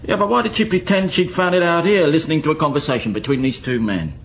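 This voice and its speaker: Casual nightclub owner, noisy background. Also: male voice, a little high, fast talker, fairly bright tone. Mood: puzzled, hesitant. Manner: casual, hesitant. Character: laconic, hesitant male nightclub owner in Paris. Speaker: actor in a radio drama. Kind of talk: radio detective drama acting. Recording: clear background noise and ambience.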